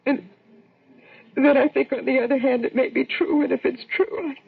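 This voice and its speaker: A woman playing a fretful housewife and mother barely holding herself together in a radio drama, anxious, halting and pleading. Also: high-pitched, steady tone, gravelly, husky, heavily acted. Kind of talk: old-time radio drama acting. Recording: tinny narrow-band old radio recording.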